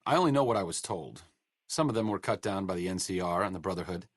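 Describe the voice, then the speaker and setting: Casting: a wry, educated young game scientist, low voice, lively melody, dry studio sound. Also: male voice, fast, no echo. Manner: dry sardonic wit, educated phrasing. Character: wry, learned male scientist companion, dry wit. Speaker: game companion voice lines. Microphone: close studio mic.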